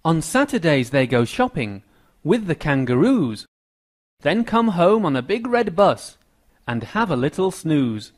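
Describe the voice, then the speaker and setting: Male children's rhyme narrator, American, slightly high voice, animated melody. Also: measured pace. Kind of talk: scripted rhyming children's narration.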